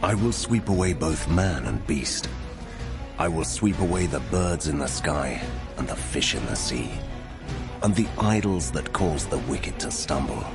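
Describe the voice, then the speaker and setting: Wrathful God, extremely deep male voice. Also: animated, a little slow, music in the background, full character voice. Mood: wrathful, solemn. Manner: solemn, commanding declamation. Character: God, wrathful male deity pronouncing judgment. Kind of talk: dramatized scripture reading.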